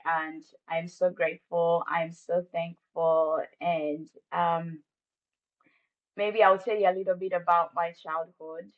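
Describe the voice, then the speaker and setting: A Ugandan-accented woman with a fairly low, flat-toned voice tells her personal testimony in a ministry interview. She speaks with warm gratitude and faith, and the sound is slightly muffled and dull. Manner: warm, faith-filled storytelling. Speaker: ministry staff guest.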